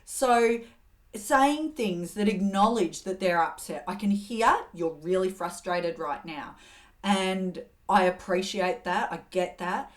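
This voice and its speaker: A middle-aged woman hosts an advice talk. She coaches in an earnest, steady way at an ordinary mid pitch, now and then acting out calm phrases to say to an angry caller. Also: takes it slowly. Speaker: host giving communication advice.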